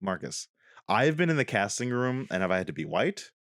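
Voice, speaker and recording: Animated man rambling on a podcast. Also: on the low side, normal speed, dry recording, studio quality. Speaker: podcast co-host. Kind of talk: casual chat.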